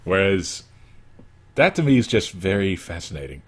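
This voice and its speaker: A man with a bass voice hosting a casual interview, throwing in playful, joking asides. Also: very animated, normal speed, slightly rough. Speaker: interview host.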